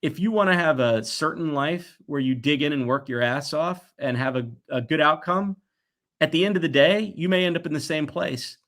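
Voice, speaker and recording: A man in his forties giving conversational interview answers in an earnest, reflective way, pausing to stress his points. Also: fairly high pitch, fairly bright tone. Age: 40s. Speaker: guest, toy company executive.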